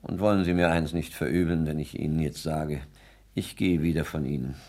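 An actor playing a pompous, grandiloquent lodger in a 1960s German radio play, speaking in a solemn, declamatory, high-flown way. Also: male voice, steady tone, a little fast, a little mellow. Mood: lofty, dismissive. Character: pompous self-styled artist lodger, a grandiloquent man of action.

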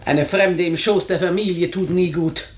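A woman's voice: lowish voice, fairly even intonation, normal speed, distant mic, natural voice. A woman acting a domineering, ageing mother in a German radio drama, declaiming and commanding like a household tyrant. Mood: stern, cold. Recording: roomy echo, slightly off-mic.

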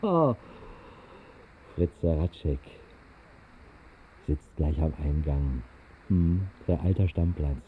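Whimsical drunken storyteller, mock-solemn, lively pitch, muffled sixties radio drama recording. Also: male voice, takes it slowly, pauses often, a little hiss or hum, full character voice. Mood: self-coaxing, whimsical. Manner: theatrical, wry, mock-solemn. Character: drunken literary tavern dreamer, dryly whimsical narrator. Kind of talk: 1960s radio drama narration. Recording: dull 1960s radio recording.

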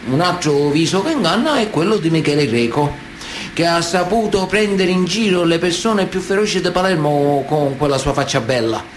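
Over clear background noise comes a male actor as an indignant Sicilian mafioso with a fairly high voice. He is wily and unschooled, with a thick Sicilian accent. He addresses a judge in emphatic radio-drama style, stretching words and pausing for effect. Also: expressive, natural voice. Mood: insinuating, menacing. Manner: emphatic, theatrical, with drawn-out pauses. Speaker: stage actor. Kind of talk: theatrical radio drama monologue.

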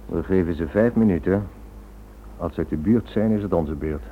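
A man playing a smug, cynical criminal schemer in a radio play, suave and mock-polite with quiet menace. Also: deep voice, moderate pace, noisy background, natural voice. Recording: thin narrow-band old radio drama recording.

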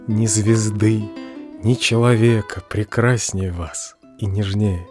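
An actor playing a humble, lovelorn clerk in an audio drama, delivering a monologue in an earnest, subdued, measured way. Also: male voice, on the low side. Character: lovelorn, humble male clerk reciting his farewell letter. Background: plucked guitar music.

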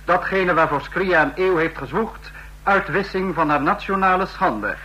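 An actor playing a stern dictator in a radio play, speaking in formal, commanding, measured oratory. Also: male voice, sits high, expressive, sharp tone, highs cut off. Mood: stern accusing. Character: stern male dictator of a fascist state.

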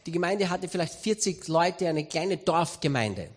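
A man with an Austrian accent preaching a sermon, telling a story with humor and lively emphasis. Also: on the high side, normal speed. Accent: Austrian German. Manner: animated, humorous anecdotal delivery.

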